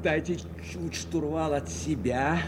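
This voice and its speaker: An urgent military navigator amid engine noise. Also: male voice, fairly high pitch, takes it slowly. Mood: focused, controlled. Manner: clipped step-by-step commands and reassurances. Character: military aircraft navigator guiding his wounded commander to land, urgent and devoted. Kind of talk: audio drama acting. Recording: loud engine noise behind the voice.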